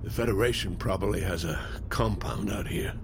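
An actor playing a gruff, insistent older man held captive in an audio drama. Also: low-pitched, moderate pace, natural voice. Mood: pressing, blunt. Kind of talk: naturalistic audio drama acting. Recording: noisy vehicle ambience behind dialogue.

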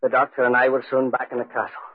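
An actor playing a plain-spoken, cautious Scottish tenant who narrates an eerie tale in a 1940s radio drama, husky-voiced. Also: male voice, mid-range voice, monotone, quick pace, no room sound, natural voice. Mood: storytelling. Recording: tinny, dry 1940s radio recording.

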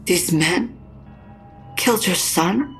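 An actress playing a frank, consoling older mother near death in an audio drama, speaking slowly and haltingly with a husky, creaky voice. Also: lowish voice, expressive, faint music behind. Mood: calm, probing. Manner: slow, halting, probing. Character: dying mother, frank, consoling, stubbornly insistent.

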